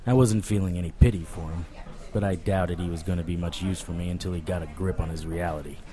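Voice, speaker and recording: A man playing a wry, world-weary supernatural private investigator, narrating in a deadpan noir audio drama style. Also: deep voice, fast, natural voice. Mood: wry, probing.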